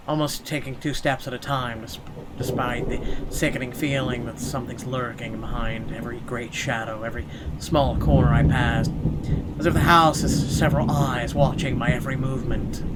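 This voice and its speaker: A man's voice: mid-range voice, heavy background noise. A man playing a horror game, reading its text aloud theatrically and adding sarcastic asides. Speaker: let's player.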